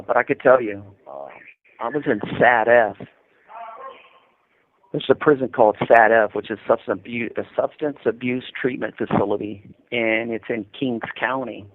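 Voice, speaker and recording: A man's voice: medium pitch, fairly even intonation, normal speed. A man in his fifties with an American accent, talking conversationally and explaining things over a phone line as an interviewee. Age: 50s. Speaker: incarcerated interviewee calling from prison. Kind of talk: casual phone interview, recounting experiences. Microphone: prison telephone. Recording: tinny narrow-band telephone line.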